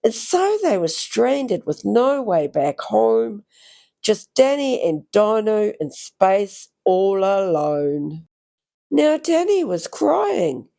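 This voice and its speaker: A woman reading a rhyming children's picture book aloud in a theatrical, expressive way, drawing out phrases for young listeners. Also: medium pitch, slow, creaky. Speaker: children's story reader.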